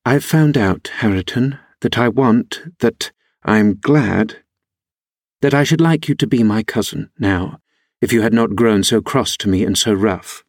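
A man's voice: fairly low pitch, a little slow, no echo, clean studio sound. A man voicing a willful young woman who pleads insistently with her cousin in an audiobook novel. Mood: hesitant, coaxing.

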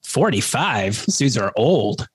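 A man's voice: mid-range voice, sing-song, slow pace, husky. Guy in his 40s on a podcast, thoughtful, tells little stories. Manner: reflective, storytelling. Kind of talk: casual podcast chat.

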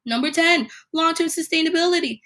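Woman, podcast host, high voice, slightly hoarse, emphatic list delivery. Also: brisk, bright, forceful tone. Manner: reads numbered points with emphatic delivery. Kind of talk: solo podcast explainer.

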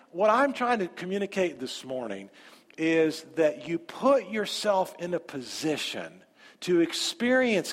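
A man's voice: high-pitched, fairly bright tone, in a large hall. A man preaching a sermon as pastor, emphatic and repeating his phrases.

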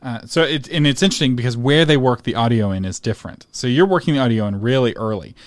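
A man's voice: mid-range voice, fast talker, dry recording, studio quality. A man hosting an interview, explaining points emphatically and laughing easily. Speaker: interviewer host. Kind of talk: interview with coaching asides.

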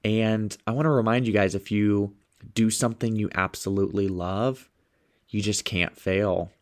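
A man's voice: lowish voice, steady tone, moderate pace. A man with a stuffed-up nose hosting a motivational podcast, talking with upbeat enthusiasm as a sales coach. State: stuffed up, sniffling.

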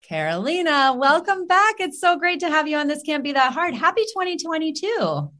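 A woman's voice: high voice, sing-song, moderate pace, bright, forceful tone, soft background music. A middle-aged woman hosting a podcast, chatting casually and upbeat, with lots of "like" and "um". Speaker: podcast host.

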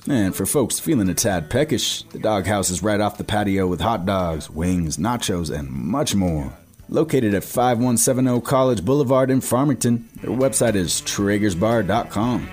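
A man reads a scripted radio ad in a smooth, measured announcer delivery. His voice sits on the low side. A quiet guitar music bed plays under him. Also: expressive.

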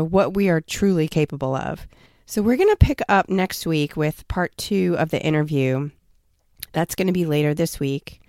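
A woman's voice: low-pitched, gravelly, a little mellow, dry recording, clean studio sound. A woman hosting a podcast, recording an upbeat message to listeners while stuffy and nasal from a cold. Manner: upbeat direct address to listeners. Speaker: podcast host. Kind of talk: recorded host outro.

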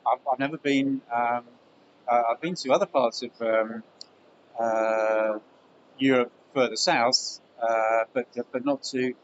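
A man's voice: medium pitch, fairly even intonation, slow, lo-fi sound. Chatty man hosting a podcast. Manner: hesitant, repeats words, joking. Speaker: podcast host. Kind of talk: casual podcast interview.

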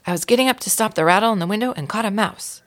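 A woman voicing an excitable young female schoolteacher who gushes and exclaims in a storybook reading. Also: medium pitch, racing, voice pushed up, close to an everyday voice. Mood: excited. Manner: gushing, exclaiming.

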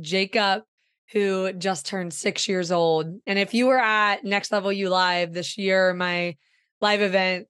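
A woman in her thirties hosting a podcast in casual chat, hoarse and raspy as she loses her voice, effusive and upbeat. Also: mid-range voice, a bit bright, no room sound, clean studio sound. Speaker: podcast host and growth coach.

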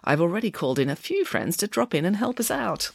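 A woman voicing a bossy, theatrical, self-assured lady pig from a children's story, grand and brisk in manner. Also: medium pitch, very animated, gravelly, breathy edge, dry recording, clean studio sound, voice pushed up, heavily acted. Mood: breezy, persuasive. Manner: grandiose, brisk, dismissive, emphatic. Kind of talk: acted children's storybook dialogue.

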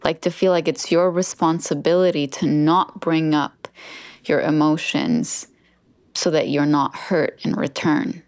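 A woman's voice: sits low, steady tone, a little slow, darkish tone, dry recording, clean studio sound. A woman guest on a podcast, speaking earnestly and heartfelt in a reflective conversation.

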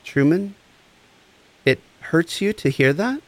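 An actor playing a gentle, encouraging empath therapist, speaking in a reassuring, deliberate way in a scripted audio drama. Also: male voice, a little high, animated. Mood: tender, encouraging. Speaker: actor in a fiction podcast.

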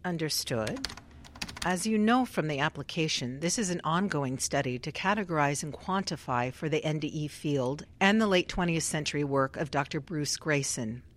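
A woman playing a polite, methodical research doctor in a radio drama, speaking in a formal, measured way. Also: deep voice, animated, slight background noise. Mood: polite, professional.

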